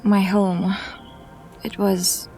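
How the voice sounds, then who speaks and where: A woman performs Lyra, a stubborn young female cat weighed down by guilt. Her mid-range voice comes out hesitant and apologetic, quick to turn defensive. Soft music runs under her lines. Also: mid-range voice, little pitch movement. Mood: uneasy. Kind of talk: audio drama acting.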